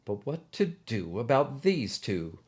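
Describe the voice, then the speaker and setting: A man's voice: mid-range voice, sing-song, a little slow, breathy edge. A man voicing a menacing older basketball coach in a storybook, ominous, sneering and taunting. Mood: threatening.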